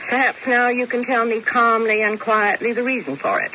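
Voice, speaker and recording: A woman's voice: fairly high pitch, normal speed, close to an everyday voice. An actress playing a cool, sardonic older woman who talks in a dry, ironic drawl, in a 1970s radio drama. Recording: dull old radio broadcast with hiss.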